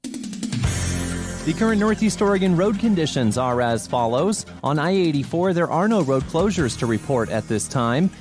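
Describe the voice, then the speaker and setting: Male traffic bulletin reader, scripted delivery, slightly high pitch, music underneath. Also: animated, moderate pace. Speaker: road report announcer. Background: music bed.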